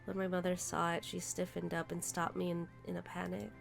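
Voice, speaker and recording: Woman reading as a numb young man, detached, gravelly, congested, faint music behind. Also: fairly low pitch, a little fast. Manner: reads game text aloud flatly. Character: detached young man unable to feel pain.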